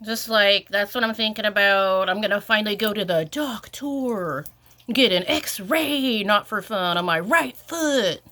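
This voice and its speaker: Sniffly woman venting in a vlog, bright voice, says like a lot, kinda exasperated. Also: mid-range voice, measured pace. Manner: rambling, exasperated, lots of "like" fillers. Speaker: vlogger. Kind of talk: ranting casual vlog.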